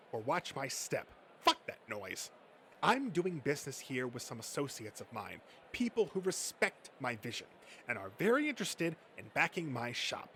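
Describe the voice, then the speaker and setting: A man's voice: a little high, pushed and bright, slight background noise, full character voice. A man voicing an abrasive, foul-mouthed young adult male comic fan with a crude, defiant way of talking.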